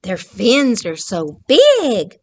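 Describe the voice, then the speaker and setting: Woman voicing a slow, wondering little sea dragon. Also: medium pitch, huge pitch swings, full character voice. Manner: wondering, drawn-out storybook delivery. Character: curious, wondering sea dragon, innocent and puzzled.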